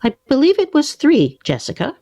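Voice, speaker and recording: An earnest, conscientious woman played by an actress in a radio play. Her pitch moves in lively swings as she gently corrects, in a close, dry recording. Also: moderate pace, dry recording, soft background music, full character voice. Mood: correcting.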